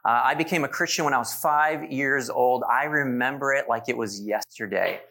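A man's voice: medium pitch, moderate pace, creaky. A man in his forties preaching in church, telling stories with asides to the congregation. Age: 40s. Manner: warm storytelling with asides to the congregation. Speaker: pastor. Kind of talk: sermon.

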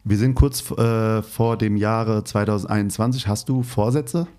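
A man with a light Hessian accent hosting a relaxed interview, friendly and talkative with fillers. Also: fairly low pitch, measured pace, smooth. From Hesse, Germany. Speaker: interviewer, host. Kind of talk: casual interview conversation.

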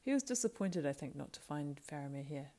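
A woman voicing a young male hobbit, frightened, grumbling and excitable, in a plaintive, exclaiming way for a storybook reading. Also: deep voice, brisk. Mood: worried.

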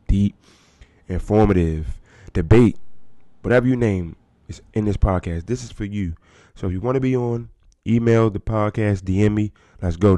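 Male podcast host, calm and easygoing, mellow low voice, desktop mic. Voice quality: calm, soothing voice. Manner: easygoing, addresses listeners directly. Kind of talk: casual solo podcast talk. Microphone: desktop USB microphone.

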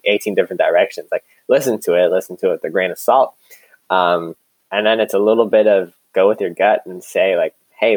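A man with a very low-pitched voice talks as a startup co-founder in an interview. His delivery is casual and conversational, like someone recounting stories informally. The sound is dry, with no room echo.

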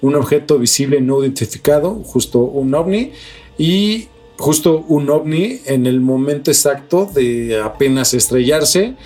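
A man's voice: mid-range voice, expressive, measured pace, darkish tone. Male Mexican co-host for a news podcast: slangy, sweary, conversational, with music underneath. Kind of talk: news reading mixed with casual chat. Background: background music.

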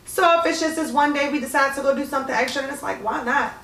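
A woman in her twenties in a casual group chat, talking in a loose, expressive way. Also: sits high, fast. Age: 20s. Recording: roomy, distant mic.

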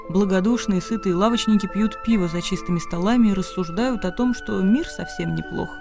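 A man's voice: high voice, vocal fry. A man delivering dramatic scripted narration as the narrator of a radio play.

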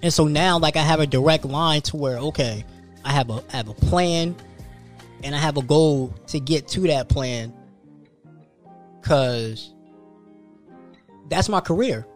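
A man's voice: fairly high pitch, measured pace, pauses often, soft background music. Man in his thirties, loose profane chat. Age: 30s. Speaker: podcast host. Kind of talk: casual podcast chat.

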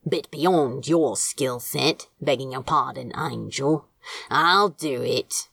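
A woman's voice: a bass voice, takes it slowly, vocal fry, fairly bright tone, breathy edge, dry recording, clean studio sound, pitched below the performer's own voice, full character voice. A woman voicing a snappish, guarded male demon gardener in an audiobook, with a curt, dismissive drawl that hides hurt. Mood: gruff, dismissive. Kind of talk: audiobook character dialogue.

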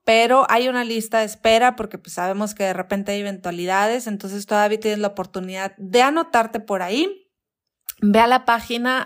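A woman talks on a radio show in an upbeat, inviting manner. She speaks straight to the listeners and encourages them to join. Her voice sits at a middle pitch. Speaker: event organizer, radio guest. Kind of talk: promotional radio chat.

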